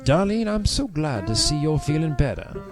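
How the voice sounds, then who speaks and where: A man acts a smooth-talking escort in a soap drama, courteous and teasing. His pitch swoops very widely. Music plays clearly behind him. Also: sing-song, normal speed. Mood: suave, teasing. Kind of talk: audio soap drama acting.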